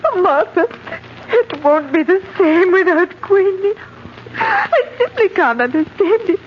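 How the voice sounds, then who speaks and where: An actress playing a timid, anxious elderly aunt with a hesitant, fluttery delivery in a 1940s radio drama. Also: female voice, very high voice, sing-song, a little slow, full character voice. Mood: upset. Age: older. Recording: noisy muffled old radio recording.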